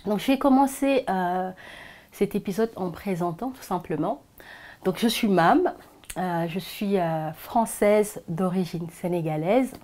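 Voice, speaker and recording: A woman, sharing her personal story in a soft, hesitant way with frequent pauses. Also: mid-range voice, expressive. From Senegal. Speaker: vlogger. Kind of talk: personal confessional vlog.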